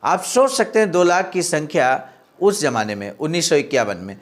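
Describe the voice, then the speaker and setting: A man's voice: a little high, very animated, normal speed. A man narrating, reading a historical speech report aloud in a formal way and addressing listeners respectfully.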